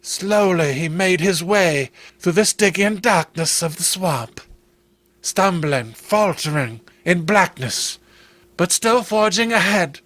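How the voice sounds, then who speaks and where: A man's voice: high voice, animated, slow, a bit bright, no echo. A man narrating a horror comic as dramatic scripted storytelling.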